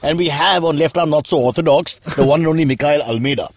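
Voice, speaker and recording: A man hosting a casual cricket podcast, teasing his guest with quick jokes. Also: a little high, no room sound. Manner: jokey, teasing, quick banter. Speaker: podcast host.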